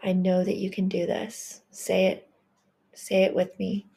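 A woman leading a guided healing meditation, speaking in a gentle, reassuring and affirming way. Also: mid-range voice, completely flat delivery, unhurried, creaky, slightly rough.

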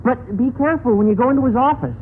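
An actor playing a goofy, slangy, squeaky-voiced teenage schoolboy in a vintage radio sitcom. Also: male voice, racing. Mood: excited, gossipy. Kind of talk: 1940s-50s radio sitcom acting. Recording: noisy narrow-band radio recording, audience noise.

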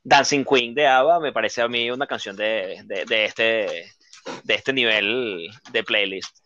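A man's voice: mid-range voice, a little slow, bright, forceful tone, highs cut off, a little hiss or hum. A man co-hosting a casual music talk, hesitant and full of fillers. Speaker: podcast co-host. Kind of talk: casual chat.

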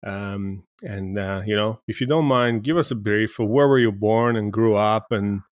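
A man's voice: on the low side, normal speed. A man hosting an interview podcast, prompting his guest with friendly questions. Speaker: podcast host.

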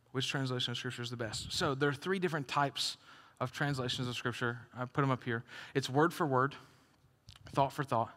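A man's voice: medium pitch, fairly bright tone. A man preaching a conversational sermon as a pastor, joking and laughing between points. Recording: long hall reverb.

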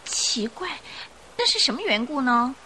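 Anxious young lady, high pitch, sharp bright tone, radio play delivery, light background noise. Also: sing-song, measured pace, full character voice. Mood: curious, uneasy. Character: anxious young society lady of eighteen or nineteen. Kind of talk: old-style radio drama acting.

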